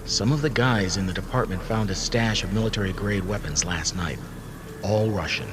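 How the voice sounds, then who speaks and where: A man's voice: low voice, moderate pace, noisy background, natural voice. A man playing a serious, cooperative police officer in an audio drama, talking plainly and earnestly. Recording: music under the voice.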